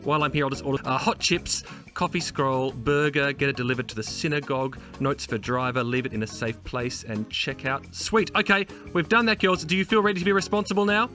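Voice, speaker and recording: A man's voice: medium pitch, fairly even intonation, a little fast, pushed and bright, faint music behind. A man with an Australian accent hosting a playful chat with guests in a jokey, chirpy manner. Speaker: show host.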